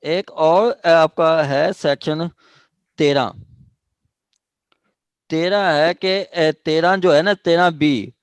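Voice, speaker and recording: A man's voice: fairly high pitch, very slow, long pauses, clear, resonant tone, no echo. A man with a Pakistani accent teaching evidence law to a class, explaining step by step with many fillers and checking that students follow. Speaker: law lecturer.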